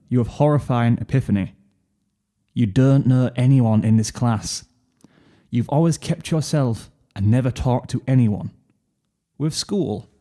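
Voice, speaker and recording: A man reading a second-person story as a narrator, expressive, with a weary, brooding delivery. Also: mid-range voice. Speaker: fan fiction narrator. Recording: piano music under the voice. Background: piano music.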